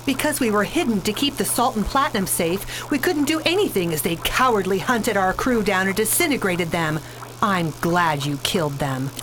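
A woman's voice: medium pitch, animated, normal speed, a bit bright, a little hiss or hum, natural voice, rough recording. A woman playing a vengeful, proud alien survivor in a space opera radio drama, speaking in a hard, declamatory way. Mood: bitter, vengeful.

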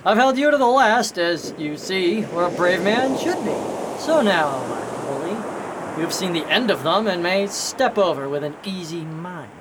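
An actor playing a ruthless, mocking pirate captain in a swaggering audio drama style. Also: male voice, moderate pace. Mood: menacing. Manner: swaggering, mock-courteous, barking orders. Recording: noisy audio with steady rumble.

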